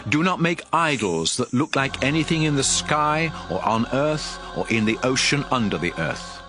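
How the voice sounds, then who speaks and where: A man's voice: medium pitch, lively intonation, normal speed, over music, lightly acted. An actor playing a solemn, commanding male prophet who addresses his people with emphatic oratory in a dramatized audio Bible.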